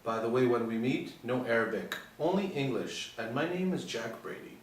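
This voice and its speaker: A man's voice: mid-range voice, expressive, normal speed, close to an everyday voice. Man acting sly undercover pilot, clipped and insinuating, roomy distant recording, screenplay read. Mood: sly, suggestive. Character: cool, sly undercover pilot posing as a militant. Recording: echoey room, distant mic, faint noise.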